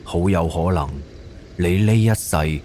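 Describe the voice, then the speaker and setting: A man acts as an authoritative middle-aged police captain in a radio play. His voice is low and his delivery measured and official, firm whether he persuades or gives orders. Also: a little mellow, slight background noise. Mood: firm, persuasive. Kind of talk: Cantonese audio drama acting.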